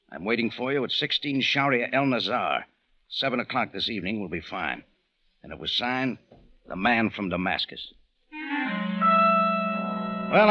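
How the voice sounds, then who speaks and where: A man's voice: medium pitch, lightly acted. A man with an American accent playing a wry, hard-boiled cafe owner who narrates an old-time radio detective drama. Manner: sardonic, tough, wisecracking. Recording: muffled old radio recording, music behind.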